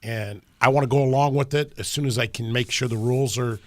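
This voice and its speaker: A man with a deep voice, a racetrack promoter talking in casual, plainspoken radio chat with folksy grammar. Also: fairly even intonation, fast talker, dry recording.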